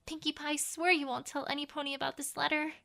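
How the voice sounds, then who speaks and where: A woman's voice: high voice, little pitch movement, fast talker, vocal fry, bright, forceful tone, exaggerated voice. A woman voicing an earnest, bookish young female pony scholar in an emphatic, conversational letter reading for an audio drama. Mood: flustered, confiding.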